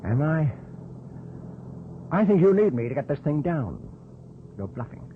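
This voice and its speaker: An actor playing a stubborn, sardonic British pilot for hire in an old radio drama, blunt and wary. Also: male voice, a little high, sing-song, measured pace. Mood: defiant, sardonic. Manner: blunt, sardonic, clipped retorts. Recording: muffled narrowband old radio, loud noise.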